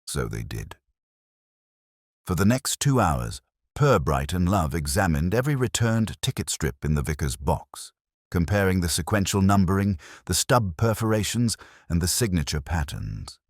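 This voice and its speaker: A man's voice: rumbling low, no room sound, clean studio sound. A man reading scripted narration for a mystery story.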